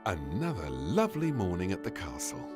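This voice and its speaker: A man narrating a scripted children's story in an expressive, deliberate storytelling manner. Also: deep voice, loud music behind the voice.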